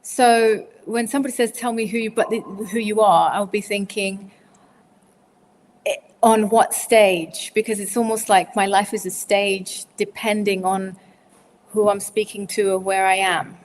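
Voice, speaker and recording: A woman's voice: medium pitch, fairly even intonation, a little slow, long reverb tail, slight background noise. A woman in her forties from Zimbabwe, a podcast guest answering questions in a loose, hesitant way with lots of fillers and restarts. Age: 40s. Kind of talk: casual interview answers.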